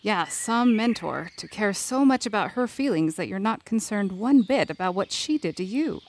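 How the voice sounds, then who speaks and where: A woman's voice: medium pitch, expressive, moderate pace, a little hiss or hum, close to an everyday voice. A woman playing a candid, wry leader and loyal confidante in a fiction podcast drama, with a blunt, teasing manner. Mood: provoking.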